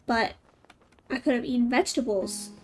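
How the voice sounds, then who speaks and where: A woman's voice: on the high side, moderate pace. A woman chatting casually and informally in a rambling way while she plays a game. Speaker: game streamer. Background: game music.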